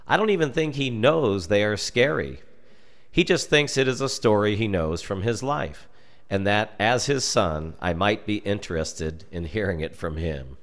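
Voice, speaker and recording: Low-voiced American man telling a story. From United States. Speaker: storyteller reading a story aloud. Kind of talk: expressive scripted narration of a humorous dark tale.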